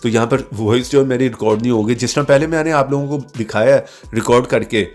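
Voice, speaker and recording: A man's voice: mid-range voice, quick pace, darkish tone, faint music behind. Man narrating a step-by-step app tutorial. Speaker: host of a tech tips channel.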